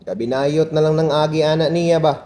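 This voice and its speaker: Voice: medium pitch, normal speed, mellow, natural voice. A performer playing a stern middle-aged father in an amateur radio drama, questioning and disapproving. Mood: skeptical. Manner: questioning, scolding.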